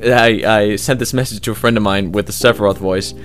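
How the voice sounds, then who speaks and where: A man's voice: on the low side, steady tone, brisk, fairly bright tone, faint music behind. A young man, a hobby voice actor, answering interview questions in a loose, rambling way, full of fillers and restarts.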